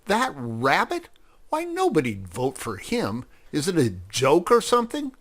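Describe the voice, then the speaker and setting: A man voicing an excitable, grumbling male pig in a children's story, exclaiming in exasperation. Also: medium pitch, unhurried. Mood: incredulous.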